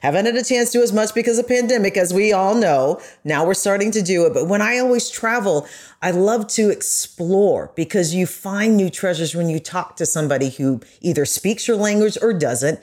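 A woman's voice: mid-range voice, moderate pace, a little mellow. A woman speaking as a podcast guest, earnest and enthusiastic in a reflective discussion.

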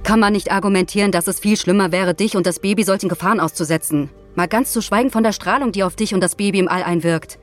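A woman's voice: medium pitch, fast, hardly any pauses, faint music behind, lightly acted. A woman playing an outspoken astronaut in an audio drama, blunt and argumentative, with a sarcastic edge. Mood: concerned, insistent. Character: outspoken, indignant female astronaut defending her crewmate. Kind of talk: naturalistic audio drama dialogue.

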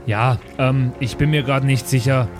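A man's voice: mid-range voice, steady tone, normal speed. Man playing a tabletop game over music. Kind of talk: casual improvised game talk. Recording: prominent music behind the voice.

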